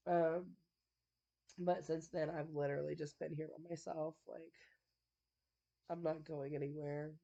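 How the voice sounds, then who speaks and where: A woman's voice: deep voice, little pitch movement, measured pace, gravelly, long pauses, warm tone, muffled recording. A woman with a congested, stuffy nose chatting casually to the camera at home, rambling with lots of fillers and frequent little laughs. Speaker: vlogger. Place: at home indoors.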